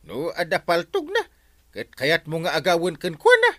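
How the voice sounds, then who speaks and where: Whiny flustered village captain, ilocano accent, radio comedy, voice jumps all over, bright. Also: male voice, a little high, huge pitch swings, moderate pace, no echo, clean studio sound, exaggerated voice. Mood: questioning, exasperated. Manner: stammering, whiny, exclaiming. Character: flustered, excitable village captain, comic middle-aged man. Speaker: actor in a radio comedy drama.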